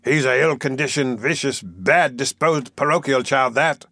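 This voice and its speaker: A man's voice: mid-range voice, lively intonation, moderate pace, a bit bright, no room sound, clean studio sound. A man voicing a self-important, irritable parish beadle in a storybook reading, with a pompous, imperious manner. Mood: indignant. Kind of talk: audiobook character acting.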